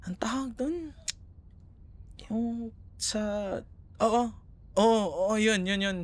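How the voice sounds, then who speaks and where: A man's voice: sits high, extremely slow. A man with a Filipino accent playing a young, caring, teasing ex-boyfriend in a casual, hesitant ASMR roleplay conversation. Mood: flustered, hesitant. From Philippines. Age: young adult.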